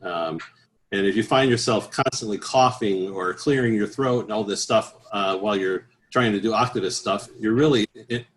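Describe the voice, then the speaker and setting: A man giving relaxed, easygoing interview answers as a guest choral bass singer, with frequent restarts and filler sounds. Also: normal speed, slight background noise. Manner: easygoing, many restarts and "uh"s, chuckles at his own stories.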